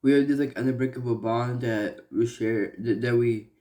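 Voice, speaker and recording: A young man from Malaysia talking earnestly in a personal vlog, halting and restarting with many fillers. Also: mid-range voice, fairly even intonation, warm tone. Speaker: vlogger.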